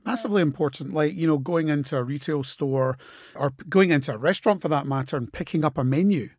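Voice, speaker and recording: A man hosting an interview, measured and conversational, with many you-knows and agreeing replies. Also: on the high side, a little mellow, dry recording, studio quality. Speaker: host.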